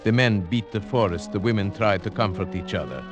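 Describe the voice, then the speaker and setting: A man narrating an old-time radio drama in a measured, suspenseful way. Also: fairly low pitch, lo-fi sound, over music. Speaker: narrator and lead actor.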